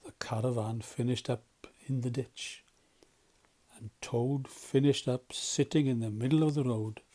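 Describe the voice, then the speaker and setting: A man's voice: medium pitch, fairly even intonation, measured pace, dark tone. A man narrating a children's storybook as a volunteer storyteller.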